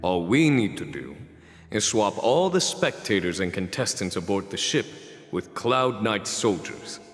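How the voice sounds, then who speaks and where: A deep, composed strategist general. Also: male voice, big pitch swings, measured pace, long reverb tail, faint music behind. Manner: composed, explanatory. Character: composed male strategist general, shrewd and confident.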